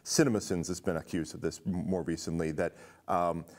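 A man's voice: low voice, steady tone, fairly quick, a little mellow. A middle-aged man discussing films casually in an explanatory, conversational way on a studio show. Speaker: film discussion show panelist. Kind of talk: casual film critique. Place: indoor studio with curtains, seated.

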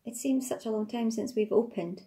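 A woman's voice: a little high, normal speed, warm tone. A woman co-hosting a card-opening video from off camera, adding brief casual remarks indoors. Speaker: co-host. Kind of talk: casual chat.

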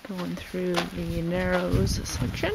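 A man casually narrating his hike outdoors, with relaxed, offhand comments on the scenery. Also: sits high, takes it slowly, gravelly. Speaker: travel vlogger. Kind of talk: casual narration while hiking. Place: outdoors on desert trails and in an orchard. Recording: noisy outdoor camera audio.

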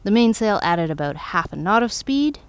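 A woman's voice: mid-range voice, sing-song, normal speed, noisy background. Wry woman narrating a sailing vlog. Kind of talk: scripted voice-over narration.

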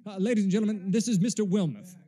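Radio reporter, higher-pitched, very soft mellow tone, earnest, formal, excitable, old-time radio drama. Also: male voice, rapid-fire. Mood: flustered, awed. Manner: formal announcer delivery, stammering when flustered. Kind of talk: 1930s radio drama acting, live news commentary.

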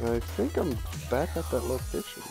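A man casually commenting on his own gameplay, playful and laughing, with sudden excited yelps. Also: mid-range voice, normal speed, music in the background. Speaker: gaming channel host.

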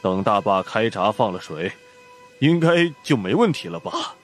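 Man playing low-voiced, folksy village chief. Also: very animated, normal speed, soft background music. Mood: decisive. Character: practical male village chief, concerned and folksy.

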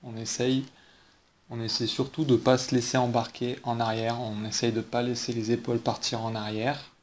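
Male ski instructor, mid-pitched, calm step-by-step voice-over explainer. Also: moderate pace, slight background noise. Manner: patient, explains step by step with rhetorical questions. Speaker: ski coach. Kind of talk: instructional voice-over over slow-motion ski footage.

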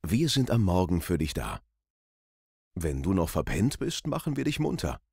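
A man voicing a friendly, smooth radio station promo announcer with deliberate emphasis, close on a studio microphone. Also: sits low, wildly swooping pitch, darkish tone, dry recording, heavily acted. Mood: friendly, upbeat. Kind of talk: scripted radio promo read.

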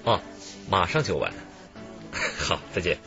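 A man's voice: low voice, huge pitch swings, slow, vocal fry, pushed and bright, full character voice. An actor playing an ingratiating, falsely genial businessman conman in a radio drama. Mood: genial, suave. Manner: chuckling, ingratiating. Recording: muffled, music behind.

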